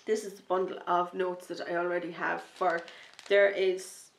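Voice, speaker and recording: Lady knitting podcast host, kinda low and gravelly, bit sniffly w a cold, rambly and excited. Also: moderate pace, echoey room. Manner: enthusiastic, rambling, drops to a soft near-whisper when excited. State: starting a slight cold, sniffly. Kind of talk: casual chat to camera.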